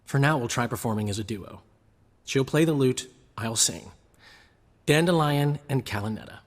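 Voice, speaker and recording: An actor playing a hopeful, flamboyant bard in a video game, with a husky voice and a chatty, expressive delivery. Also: male voice, medium pitch, normal speed, big-room sound, close to an everyday voice. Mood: hopeful, pleased.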